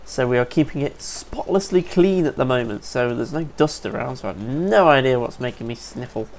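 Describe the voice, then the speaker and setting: A man's voice: medium pitch, expressive, fairly quick, vocal fry, a little mellow, slight background noise. A man giving casual, upbeat commentary over a survival game, a bit sniffly from allergies and chatting to viewers as folks. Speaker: let's play gamer.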